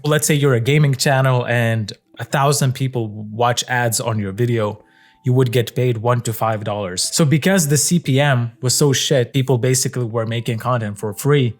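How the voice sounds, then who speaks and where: A young man from Lithuania, speaking English with a Lithuanian accent, talking to camera in a relaxed, reflective monologue that is full of fillers and casual swearing. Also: medium pitch. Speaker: content creator.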